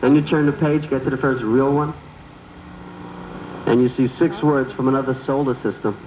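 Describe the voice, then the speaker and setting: A man's voice: mid-range voice, fairly even intonation, normal speed, darkish tone. A man performing an observational stand-up comedy routine. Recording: noisy, echoey old video tape playback.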